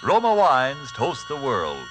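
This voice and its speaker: A male sponsor announcer in 1940s radio style. His delivery rises and falls very dramatically, with music clearly behind him on the old recording. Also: medium pitch, huge pitch swings, slow.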